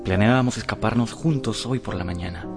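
An actor playing an earnest, remorseful young romantic gentleman in a radio drama, speaking with dramatic sincerity. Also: fairly low pitch, fairly quick, natural voice. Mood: confessional, earnest. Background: music.

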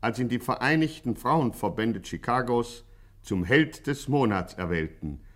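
Actor as bombastic mock-heroic radio narrator. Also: male voice, medium pitch, expressive, measured pace, natural voice. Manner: grandiose, tongue-in-cheek, crisply declaimed. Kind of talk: 1950s German radio play narration.

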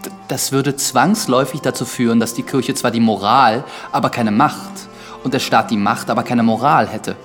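An actor playing an earnest, pious priest with doubts in a German radio drama, measured and reflective, with a creaky voice. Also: male voice, medium pitch, steady tone, fairly bright tone, faint music behind, lightly acted. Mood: firm, measured.

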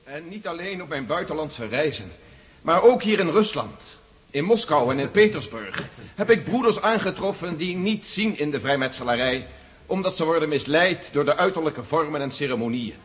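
Earnest, higher-voiced idealist orator. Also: male voice, expressive, normal speed, fairly bright tone. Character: earnest idealistic nobleman addressing his lodge brothers. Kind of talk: formal speech in radio drama.

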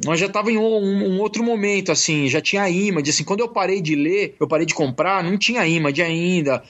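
A man's voice: sits high, brisk, a bit bright, lo-fi sound. A man, casually reminiscing in an interview, rambling with many fillers and restarts. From Brazil. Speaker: comics author guest.

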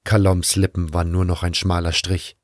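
A man's voice: sits low, little pitch movement, moderate pace, dry recording, clean studio sound. A man reading scripted first-person narration for an audio drama.

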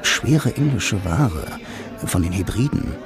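An actor playing a lonely, wistful noir romantic who narrates his own story in a measured, deadpan way for a radio play. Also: sits low, crowd noise, full character voice. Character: blind lonely bank clerk, film-noir romantic, dry and wistful. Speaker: first-person narrator and lead.

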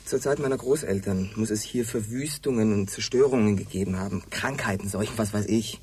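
An actor playing a desperate, bitter leader of colonists in a radio drama, speaking in a blunt, commanding way. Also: male voice, fairly low pitch, brisk, darkish tone, slight background noise, natural voice. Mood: bitter, resigned. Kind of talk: German radio drama acting.

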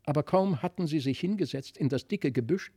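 Casting: male storyteller, fairly high voice, dramatic, vivid literary narration. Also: animated, fairly quick, dark tone, husky. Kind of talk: expressive literary fairy-tale narration.

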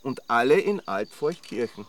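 A man's voice: mid-range voice, sing-song, normal speed, far from the mic, lightly acted. An actor playing a sardonic, gossipy village man in a radio drama, dry and knowing, with an Austrian accent. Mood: sardonic, amused. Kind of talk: conversational radio drama acting.

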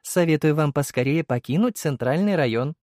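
A polite young shopgirl, as a man narrator puts her on, with a raised, swinging pitch. She speaks with genteel courtesy and a coquettish touch, in a close, dry audiobook sound. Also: animated, normal speed, a little mellow, dry recording, close to an everyday voice. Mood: courteous, advising. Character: polite, coquettish young woman shop clerk.

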